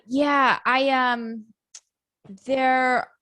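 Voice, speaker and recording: A woman's voice: high voice, little pitch movement, drawn-out words, bright, forceful tone, no echo. A young woman from Illinois, a podcast guest, reminiscing about her hometown in a rambling, giggly way with many fillers. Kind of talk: casual chat.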